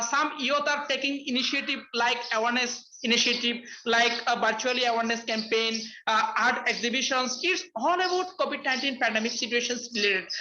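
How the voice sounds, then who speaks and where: A young woman with a Bangladeshi accent, Bengali her first language, speaks on a webinar. Her voice sits high, and she halts often, filling gaps with uh and um. The call audio sounds muffled and lo-fi. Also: sharp tone, slight background noise. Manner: hesitant, many uh and um fillers, restarts. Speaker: webinar panelist. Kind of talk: halting spoken remarks in a webinar. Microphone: video call.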